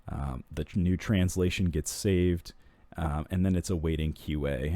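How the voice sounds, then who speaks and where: A man walking through software screens in a tutorial, explaining steps in an unhurried way with frequent ums and restarts. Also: rumbling low, dry recording. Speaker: software product walkthrough presenter.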